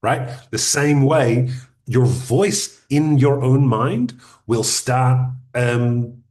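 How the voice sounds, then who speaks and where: A man at a mid-range pitch, coaching an audience on podcasting in an unhurried, conversational style. He speaks deliberately, lets pauses sit, and gives direct, practical advice. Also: a little mellow. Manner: deliberate, uses pauses and restarts. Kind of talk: conversational teaching talk.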